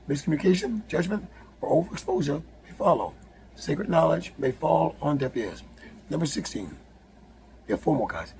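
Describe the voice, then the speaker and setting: A man with a raspy, hoarse voice, reading a numbered list aloud with casual asides, often stumbling and rereading words. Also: a little high, darkish tone, slight background noise, poor audio. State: hoarse from yelling. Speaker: spiritual video host.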